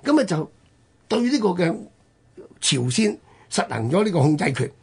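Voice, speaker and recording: A man with a Hong Kong Cantonese accent hosts a history talk. His voice is very rough and husky, and his pitch moves widely as he stresses points and stretches his phrase endings like a storyteller. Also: sing-song. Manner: emphatic storyteller with drawn-out phrase-ending particles. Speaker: radio host. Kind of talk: conversational history storytelling on radio.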